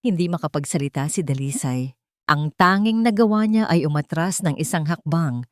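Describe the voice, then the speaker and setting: A woman's voice: deep voice, lively intonation, normal speed, no room sound, studio quality. A woman narrating a scripted romance audio drama in a dramatic storytelling style.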